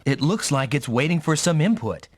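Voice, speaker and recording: An actor playing a young, headstrong rookie mech pilot in an anime-style video game, speaking dramatically and often thinking aloud. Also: male voice, a little high, expressive, normal speed, background noise, close to an everyday voice. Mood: puzzled, wary.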